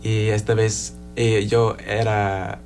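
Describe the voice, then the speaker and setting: A young man, an English speaker, introducing himself in halting learner Spanish with many pauses and fillers. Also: on the low side, flat delivery, a little mellow, faint music behind. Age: young adult. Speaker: student actor guest.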